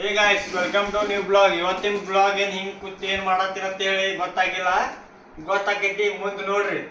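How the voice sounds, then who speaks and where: Man, North Karnataka accent, casual vlogger, flat intonation, roomy echo, informal English-mixed slang. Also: high-pitched, normal speed, over music. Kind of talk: casual chat to viewers. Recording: roomy echo, faint background noise.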